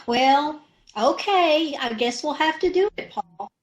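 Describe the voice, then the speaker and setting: A woman's voice: high-pitched, expressive, takes it slowly. A woman playing a dizzy chattering wife. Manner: dizzy, malapropping. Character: scatterbrained wife, cheerful and muddled. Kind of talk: old-time radio comedy acting.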